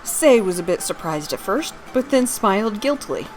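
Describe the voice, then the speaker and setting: A woman's voice: medium pitch, very animated, moderate pace, faint music behind, poor audio. A woman reading her own fantasy story aloud, narrating scripted prose and its dialogue.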